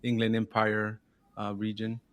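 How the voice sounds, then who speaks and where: A man's voice: fairly low pitch, completely flat delivery, unhurried. A man from Southern California, a marketing business founder, talking informally as a podcast guest, often adding "right?" and "man". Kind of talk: casual interview chat.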